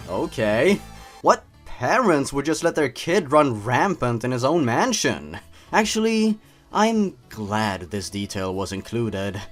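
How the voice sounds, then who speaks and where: Male comedy narrator, theatrical and mocking, hugely animated mid-range delivery, faint music underneath. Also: mid-range voice. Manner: theatrical, mocking, exaggerated emphasis. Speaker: host of a story-reading comedy show. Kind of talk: comedic narration and commentary on a horror story.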